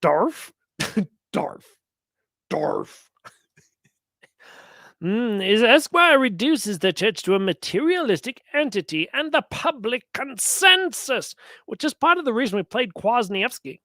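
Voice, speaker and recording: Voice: mid-range voice, big pitch swings, moderate pace, bright, forceful tone, no room sound, studio quality. A commentator giving sarcastic religious reactions to clips, mocking and often acting out imagined exchanges.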